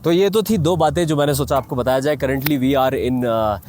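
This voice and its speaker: A man's voice: medium pitch, normal speed, a little mellow. Indian man vlogging with noisy background. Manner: explanatory, mixes English phrases into speech. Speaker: motovlogger and gear reviewer. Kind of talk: casual vlog review to viewers. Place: outdoors in a mountain valley. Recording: clearly noisy outdoor ambience.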